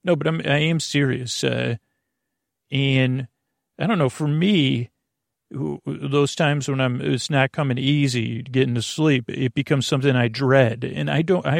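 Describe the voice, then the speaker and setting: A man's voice: medium pitch, steady tone, measured pace, no echo, studio quality. A man hosting a sleep podcast, talking in a meandering, earnest way that keeps correcting itself. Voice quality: creaky. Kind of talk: rambling bedtime podcast talk.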